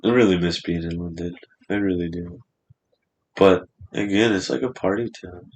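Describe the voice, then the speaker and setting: A man's voice: sits low, normal speed, a little mellow. A man in his twenties chatting casually on a podcast in a studio, rambling and swearing freely. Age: 20s.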